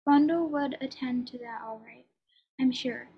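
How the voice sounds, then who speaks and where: A woman's voice: sits high, a little slow, slightly rough, heavily acted. An actress playing a reluctant, wry English lady of title in a radio play, dryly sardonic, in slightly dull sound. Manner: dry, sardonic, evasive.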